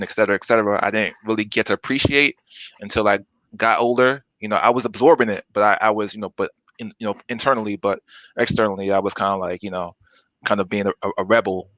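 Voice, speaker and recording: Low-voiced Brooklyn man, halting. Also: a bit bright, no room sound, low-quality recording. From Brooklyn, New York. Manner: halting, many fillers and restarts. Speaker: guest. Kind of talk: casual interview chat.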